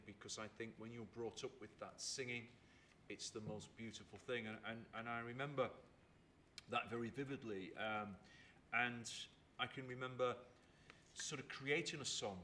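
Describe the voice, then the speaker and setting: A man in his fifties from England talks in a reflective, unhurried storytelling way, with a bright tone. He lingers over his words and repeats them for emphasis. A faint low rumble sits behind his voice in a theatre. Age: 50s. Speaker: storyteller and writer.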